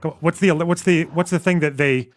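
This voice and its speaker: A man's voice: fairly high pitch, steady tone, fast, no room sound, studio quality. Pushy man grilling a guest. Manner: pressing, challenging questions. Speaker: debate panelist. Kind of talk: live online debate.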